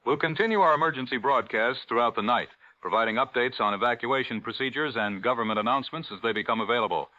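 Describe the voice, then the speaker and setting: Guy doing old time radio anchor, grave and formal, bright punchy voice, dull lo-fi sound. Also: medium pitch, lightly acted. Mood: grave, composed. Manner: formal, measured, solemn announcer delivery. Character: grave 1940s radio news anchor, man, composed. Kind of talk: 1940s radio newscast acting.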